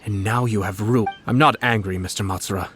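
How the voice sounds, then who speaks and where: A man acting a low-voiced, refined, cutting nobleman. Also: music in the background, close to an everyday voice. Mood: cold reproach. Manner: measured, refined, calmly condescending. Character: composed, shrewd noble commissioner, politely cutting. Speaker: video game character. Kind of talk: dramatic video game voice acting.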